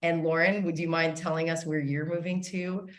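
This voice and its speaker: A woman's voice: low-pitched, steady tone, moderate pace. A woman hosting a live online summit in a chatty, gushing, informal way. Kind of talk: casual live interview hosting. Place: indoors, online video call.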